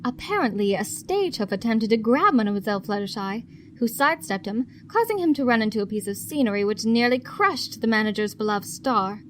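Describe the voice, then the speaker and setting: A woman's voice: a little high, animated, brisk, slight background noise, close to an everyday voice. Woman acting a stern, formal madam. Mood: matter-of-fact reporting. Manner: formal, reproachful, dry. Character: stern, dry, composed female pony madam. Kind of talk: audio drama acting.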